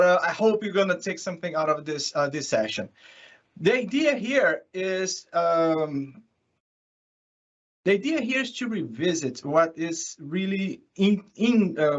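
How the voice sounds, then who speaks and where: A man from Brazil with a Brazilian accent, speaking English as a second language, gives an informal, chatty online conference presentation as a consultant. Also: a little high. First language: Portuguese. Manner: chatty, often chuckling. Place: remote online session from California. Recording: dull lo-fi online call audio.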